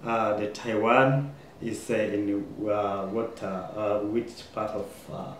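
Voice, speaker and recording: A man's voice: on the low side, steady tone, slight background noise. Hesitant young man from Rwanda. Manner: hesitant, many pauses and fillers. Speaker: guest. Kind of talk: casual chat. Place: kitchen dining room, indoors. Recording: echoey room with faint ambience.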